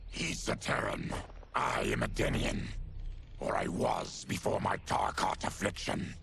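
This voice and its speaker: An actor playing a grave, afflicted monstrous warrior outcast in a video game, gravelly and measured. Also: male voice, lowish voice, a bit bright, a little hiss or hum, full character voice. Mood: grave, firm. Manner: solemn, measured.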